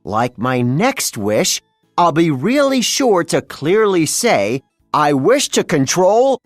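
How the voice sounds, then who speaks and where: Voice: medium pitch, big pitch swings, takes it slowly, no echo, studio quality, soft background music. A storybook reader voicing a bumbling, theatrical male cartoon mad scientist who scheme-talks in a grandiose, rambling, exasperated way. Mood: musing.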